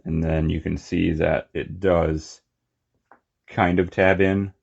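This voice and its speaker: A man with a very low, crackly voice talks casually through a hands-on review. His delivery is unscripted and informal, with small muttered asides as he works. The sound is somewhat muffled and lo-fi. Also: flat delivery, slow, gravelly, darkish tone, slightly rough. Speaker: toy collector and reviewer.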